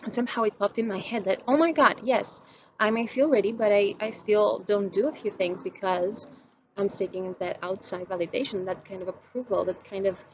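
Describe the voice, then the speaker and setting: Young woman, from Greece, reflective vlog monologue, thinking out loud, faint hiss behind her. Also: medium pitch, moderate pace, highs cut off. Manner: musing, searching for words, many restarts and fillers. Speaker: vlogger.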